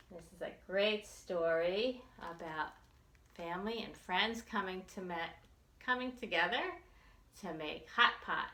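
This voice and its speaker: Bright-voiced woman reading slowly to children. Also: lowish voice, gravelly. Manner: slow, deliberate, expressive delivery with repetition. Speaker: children's librarian leading a storytime. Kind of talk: sing-song read-aloud and chanting for young children. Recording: roomy, echoey sound.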